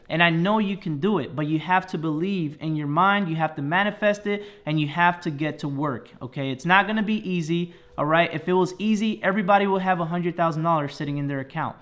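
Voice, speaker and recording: A man's voice: on the high side, a bit bright. A man in his thirties from the Dominican Republic, giving an earnest motivational talk to camera and ending his points with "okay?". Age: 30s. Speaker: personal finance YouTuber.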